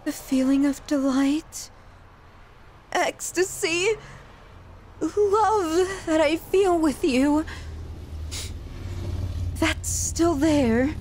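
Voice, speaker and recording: A woman performs an unhinged yandere woman, obsessive and possessive in her love. Her voice sits high and she draws her words out slowly. The delivery is a halting, emotional and dramatic ASMR roleplay monologue. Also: a little mellow, slight background noise. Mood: wistful, aching.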